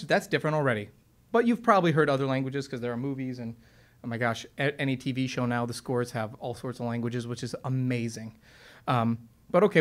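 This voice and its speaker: A man who sings opera talks casually and enthusiastically to an audience, explaining his craft with lots of fillers and restarts. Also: mid-range voice. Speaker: opera singer and presenter. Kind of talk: relaxed explanatory talk to an audience. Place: stage or studio with piano.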